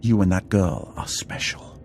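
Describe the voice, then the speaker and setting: An ancient Sith lord for a game cutscene. The voice is very deep, measured and formal, with portentous calm, over background music. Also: male voice. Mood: solemn, earnest. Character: solemn centuries-old Sith lord, calm defector with a vision.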